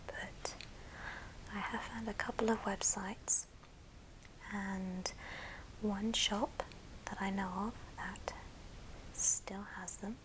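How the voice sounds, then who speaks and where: A woman hosting an ASMR show and tell in a soft, breathy whisper, speaking gently in short phrases. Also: medium pitch, noisy background. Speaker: ASMR video host.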